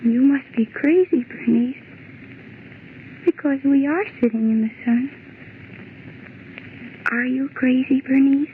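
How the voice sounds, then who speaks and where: A dazed woman adrift in her own delusion, childlike and eerily serene. She drawls slowly in a high voice, in vintage radio horror style, through a tinny old recording. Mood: placid, insistent. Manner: dreamy, slow, eerily placid. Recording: noisy, band-limited old radio recording.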